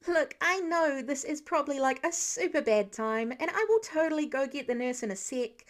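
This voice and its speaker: A woman voicing an excitable, awkward teenage boy superhero who rambles eagerly in an audiobook-style story. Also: high-pitched, moderate pace, voice pushed up. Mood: nervous, eager.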